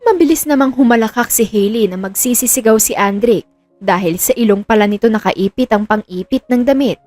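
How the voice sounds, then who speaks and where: A woman's voice: on the high side, a little fast, darkish tone, dry recording. A woman reading scripted narration of a romantic drama as the storyteller. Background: music.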